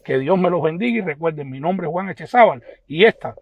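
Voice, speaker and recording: A man's voice: on the high side, expressive, brisk, no room sound, narrow, tinny audio. A man with a Havana Cuban accent hosts a live online news commentary, talking to viewers in an emphatic, insistent way and repeating his phrases. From Cuba. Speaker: host of an online news page.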